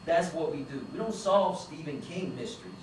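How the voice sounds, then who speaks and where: A laid-back male vice cop who cracks jokes and brushes off serious cases, read by an actor at a table read. His voice sits a bit high. Heavy room echo and distance colour the sound. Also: lively intonation, moderate pace, background noise, close to an everyday voice. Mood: dismissive. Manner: wisecracking and dismissive. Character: joking, lazy male vice cop who loves food. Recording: echoey room picked up from a distance.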